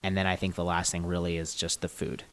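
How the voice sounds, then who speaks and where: A man's voice: sits low, quick pace, clean tone. A young American man from the Philadelphia area, a podcast guest chatting casually and readily agreeing. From United States, Philadelphia area. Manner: relaxed, affirming, frequent agreeing fillers. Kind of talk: casual interview conversation.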